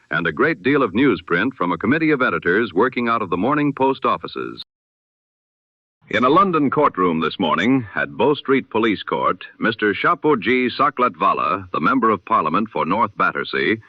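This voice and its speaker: A man reading the news in the style of an old-time radio broadcast, measured and emphatic, with dramatic pauses before key lines. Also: on the low side, no echo. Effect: old radio sound. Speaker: newsreader. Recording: dull lo-fi old radio sound.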